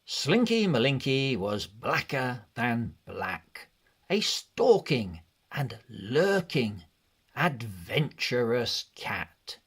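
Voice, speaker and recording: A man narrating a children's read-along storybook in an expressive, deliberate way. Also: medium pitch, husky. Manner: expressive, deliberate, measured delivery.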